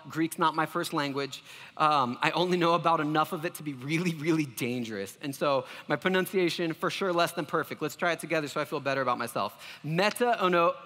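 A man's voice: on the high side, pushed and bright, big-room sound. A man preaching a sermon as pastor, earnest and self-deprecating, often restarting his phrases.

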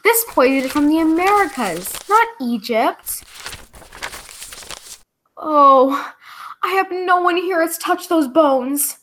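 A woman's voice: sits high, animated, takes it slowly, long pauses, a bit bright, exaggerated voice. A woman playing an inquisitive, flustered female doctor in a 1940s radio drama, exclamatory and full of questions. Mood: earnest, puzzled. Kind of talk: 1940s old-time radio acting. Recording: clearly noisy recording with clicks.

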